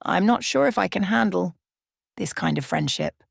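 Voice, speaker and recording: A woman voicing an awkward, uneasy man in a bedtime story, halting and stammering. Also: lowish voice, animated, creaky, husky, dry recording, studio quality, heavily acted. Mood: unsure.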